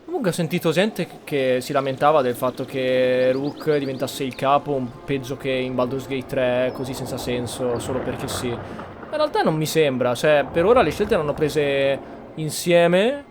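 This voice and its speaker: A man's voice: medium pitch, expressive, moderate pace, background noise. A man giving casual commentary as he plays through a video game. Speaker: gameplay streamer.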